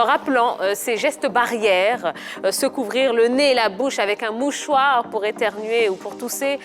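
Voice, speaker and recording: A woman hosting a football talk show, cheerful and playful. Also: a little high. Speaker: TV host.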